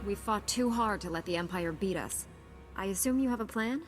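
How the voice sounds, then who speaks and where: A woman's voice: mid-range voice, a little fast, close to an everyday voice. Casting: actress for dutiful, confident female knight in a sci-fi game, mid register, direct delivery, reverberant hall sound. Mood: determined. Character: resolute, dutiful young female Jedi knight. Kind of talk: scripted video game dialogue. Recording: long hall reverb, faint music behind.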